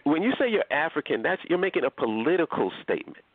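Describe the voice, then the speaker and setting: A man's voice: on the high side, fast, dry recording. A man from Nigeria hosting a casual group discussion, talking in an emphatic, blunt way with some cursing. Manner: emphatic, profane, animated. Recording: tinny phone-like line.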